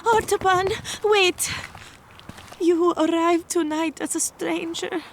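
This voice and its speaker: A woman's voice: very high-pitched, steady tone, slow pace, a little hiss or hum, exaggerated voice. A woman playing a devout young mother in an audio drama, speaking with tender, reverent emotion. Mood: grateful.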